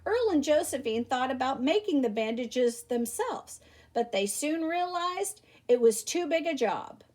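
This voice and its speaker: A high-voiced woman reads a children's story aloud. Her pitch swings widely as she leans into the emphasis like a teacher addressing young listeners. The delivery is animated and theatrical. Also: a little slow. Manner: animated, theatrical emphasis with exclamations and rhetorical questions. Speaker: teacher reading a picture book aloud.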